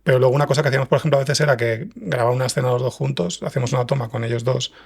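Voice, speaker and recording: A man's voice: mid-range voice, fairly even intonation, racing, creaky, husky. A man with an accent from Spain explaining things casually as an interview guest, often rephrasing himself. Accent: Spanish from Spain. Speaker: guest, audio fiction writer and director.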